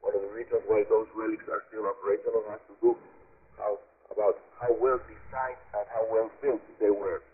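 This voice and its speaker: A man with a Cuban accent and native Spanish, hosting a scripted radio program in a deliberate, formal announcer style with emphatic phrasing, heard over shortwave. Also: on the low side, little pitch movement, breathy edge. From Cuba. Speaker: radio host. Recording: narrow, muffled shortwave radio reception. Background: shortwave broadcast received on a radio.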